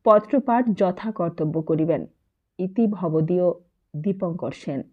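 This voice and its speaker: A woman's voice: lowish voice, a little slow. Woman narrating a novel through muffled, phone-like sound. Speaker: audiobook narrator. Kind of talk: literary audiobook narration of a novel.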